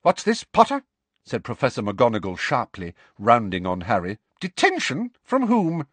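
A man's voice: medium pitch, wildly swooping pitch, moderate pace, dry recording. A man voicing a stern, strict older female professor who speaks sharply and tartly. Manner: sharp, tart and clipped.

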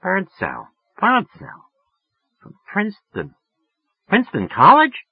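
Man putting on a brassy high-pitched woman. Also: sing-song, normal speed, husky, dry recording, dull audio, full character voice. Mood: suspicious, annoyed. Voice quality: raised, affected feminine pitch. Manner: sharp, slangy, turning affectedly genteel. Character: brassy, irritable young working woman, formerly burlesque, turning coy.

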